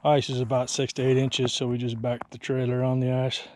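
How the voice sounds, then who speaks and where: A man's voice: mid-range voice, completely flat delivery, brisk, no echo. A man casually explaining his ice fishing setup outdoors on a frozen lake, plainspoken and self-deprecating, recorded on a body-worn action camera. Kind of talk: casual how-to narration.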